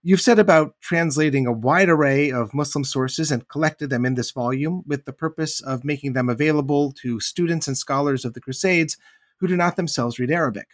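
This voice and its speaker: Measured male interviewer, dry sound. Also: a little high, no room sound. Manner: measured, reads prepared introduction. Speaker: podcast host interviewer. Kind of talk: interview hosting.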